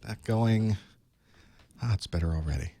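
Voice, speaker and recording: A man with a hoarse voice from a cold, chatting casually to his live stream audience while he sets up for an online class. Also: lowish voice, moderate pace, mellow. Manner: chatty, wry, talks to himself while setting up. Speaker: online course instructor. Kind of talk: casual pre-show chat to a live stream. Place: small studio desk.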